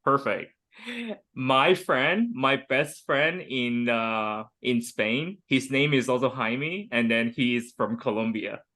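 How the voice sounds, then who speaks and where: A man's voice: mid-range voice, takes it slowly, pushed and bright. Man from Hong Kong, thirties, video-call English teacher, loose and chatty with lots of "like" and "uh". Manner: chatty, many fillers and restarts. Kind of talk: online group lesson.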